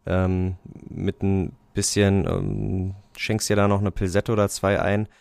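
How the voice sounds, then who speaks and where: A man with a fairly low voice talks football in a loose, rambling way, full of fillers and restarts. He laughs easily. The sound is dry and close to the mic. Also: monotone, unhurried, vocal fry, a little mellow, dry recording. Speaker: podcast co-host. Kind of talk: casual chat about football.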